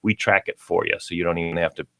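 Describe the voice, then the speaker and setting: Low-voiced, chatty man promoting a store. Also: fast talker, no echo, clean studio sound. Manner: upbeat and chatty, with bursts of excitement. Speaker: pet store guest. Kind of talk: casual radio chat, promoting a store.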